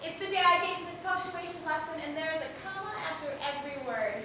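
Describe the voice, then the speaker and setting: A woman playing a caring, encouraging schoolteacher in an amateur student stage play. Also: fast talker. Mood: concerned pride. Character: caring, earnest young woman teacher, encouraging and protective. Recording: echoey stage, distant mic, low rumble.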